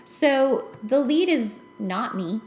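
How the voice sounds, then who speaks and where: A woman's voice: fairly high pitch, animated, slow pace, a little mellow, slight background noise. Chatty American woman in a roomy space. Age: late 20s. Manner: chatty, self-deprecating. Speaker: podcast host and author. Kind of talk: casual personal storytelling in conversation.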